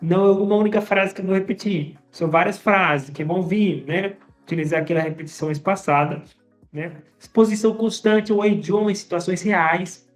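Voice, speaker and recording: A language teacher talking casually to viewers, often tagging sentences with a quick question and restarting phrases. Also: mid-range voice, faint music behind. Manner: conversational, frequent tag questions and restarts. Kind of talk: casual teaching monologue to camera.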